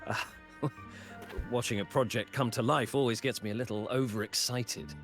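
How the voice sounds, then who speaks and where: A man playing an absent-minded, excitable engineering professor in a video game, hesitant, rambling and earnestly polite. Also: medium pitch, normal speed, natural voice. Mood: flustered, apologetic. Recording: game music behind the voice.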